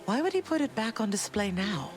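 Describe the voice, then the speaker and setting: A woman portrays a wistful exiled queen in a video game. Her delivery is measured, reserved and gentle, with music clearly underneath. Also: mid-range voice, very animated, lightly acted. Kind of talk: video game character dialogue.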